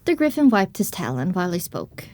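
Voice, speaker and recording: A woman reading scripted first-person story narration for an audio drama, with expressive storytelling delivery. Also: fairly low pitch, a little fast, no room sound.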